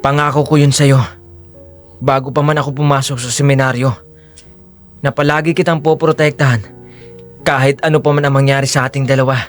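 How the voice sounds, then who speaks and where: A man's voice: medium pitch, level tone, a little fast, long pauses, soft background music, natural voice. A man playing an earnest, forgiving young hero in an audio drama, speaking sincerely and respectfully. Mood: tender, earnest.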